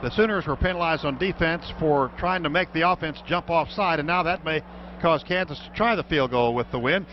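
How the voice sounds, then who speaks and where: A man with an American accent and a fairly high voice delivers live football play-by-play for radio. The broadcast sound is somewhat dull, with clear stadium noise behind him. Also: brisk. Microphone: broadcast booth microphone.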